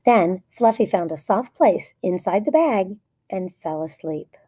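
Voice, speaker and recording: A woman's voice: mid-range voice, animated, a little slow, mellow, slightly rough. A woman narrating a children's story aloud in short, plainly phrased sentences. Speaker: storybook reader.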